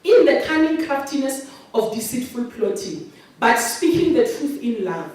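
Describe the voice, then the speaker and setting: A South African woman pastor preaching a sermon in an animated, sing-song way. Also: a little high, moderate pace. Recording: very echoey, distant mic.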